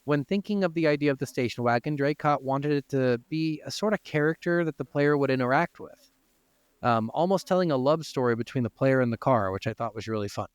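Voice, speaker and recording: A man's voice: mid-range voice, animated, brisk, clean tone, dry recording. A man hosting a casual gaming podcast, moving between informal, sweary chat and reading from notes. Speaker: podcast host.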